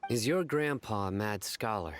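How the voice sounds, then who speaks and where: A man playing a stern, vigilant investigator in an anime game dub, speaking seriously, formally and deliberately. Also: on the low side, expressive, natural voice. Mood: probing. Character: stern, vigilant young male investigator, cool and measured.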